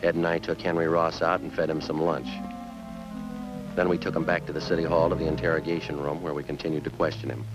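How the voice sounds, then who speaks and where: A man playing a terse, unflappable police sergeant in a radio crime drama, speaking in a clipped, deadpan, matter-of-fact way. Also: extremely low pitch, fast, over loud music, low-quality recording. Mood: flat, procedural. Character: terse, unflappable male police sergeant narrating his case.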